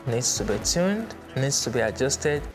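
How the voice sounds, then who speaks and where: A man presenting an explainer in a measured, didactic way, stressing his points. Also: medium pitch, big pitch swings. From Nigeria. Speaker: presenter, church sound instructor. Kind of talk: explainer with everyday analogies. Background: background music.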